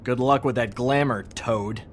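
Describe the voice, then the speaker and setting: An actor playing a glib, smug pawnshop clerk in a video game, flippant and sarcastic. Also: male voice, mid-range voice, measured pace, slight background noise, natural voice. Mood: wry.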